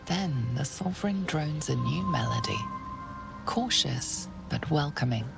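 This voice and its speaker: A solemn female storyteller narrates a fantasy game in a strikingly deep voice with a gravelly edge. Her delivery is unhurried, atmospheric and mysterious, over clear music. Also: expressive, heavily acted. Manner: measured, atmospheric, deliberate. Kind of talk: scripted narration.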